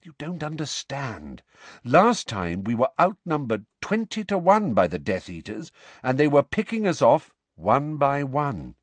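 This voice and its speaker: A man doing a calm, firm wizard. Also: medium pitch, measured pace, no echo, voice pushed up. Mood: firm, soothing. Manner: firm and soothing. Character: calm, steady middle-aged wizard, kindly but firm. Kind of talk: audiobook character voice.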